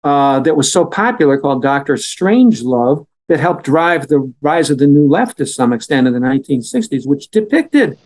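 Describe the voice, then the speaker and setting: A man's voice: on the high side, big pitch swings, normal speed, few pauses, darkish tone. Man giving emphatic political commentary. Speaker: interview guest, political columnist.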